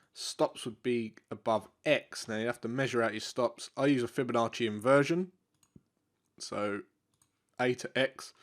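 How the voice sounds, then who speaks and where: A man talks through trading charts in a loose, unscripted way, often stopping to repeat himself. His voice sounds congested and bunged up, as if he has a cold. The pitch sits in a middle range for a man. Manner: relaxed, repeats himself, many fillers. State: congested, stuffy nose. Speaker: forex trading educator. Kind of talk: live market analysis walkthrough.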